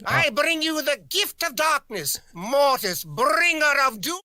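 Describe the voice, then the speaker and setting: A man's voice: very high-pitched, expressive, a little slow, pushed and bright, dry recording, voice pushed up, full character voice. A man voicing a vain, theatrical undead game villain with a grandiose, melodramatic delivery. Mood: ominous, grand.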